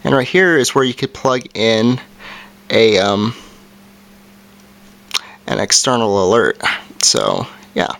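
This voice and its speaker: A man's voice: on the low side, big pitch swings, takes it slowly, gravelly, long pauses, fairly bright tone. Man casually walking through a gadget. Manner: plain, informal step-by-step explaining. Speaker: amateur video reviewer. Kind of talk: casual product review and demonstration.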